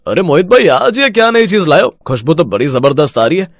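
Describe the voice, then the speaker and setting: A man's voice: on the high side, lively intonation, a little fast, no echo, studio quality. A man playing a chatty, outspoken street-food customer in a moral story, with a familiar manner. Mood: curious.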